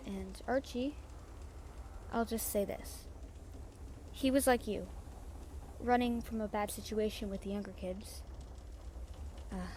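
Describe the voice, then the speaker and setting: Audio roleplay pirate captain played by a woman: slightly high voice, salty, casual, confiding, warm-hearted, over faint background noise. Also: lightly acted. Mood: fond, sober. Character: brash, warm-hearted female pirate captain, fond and teasing. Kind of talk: audio roleplay acting, chatting to the listener.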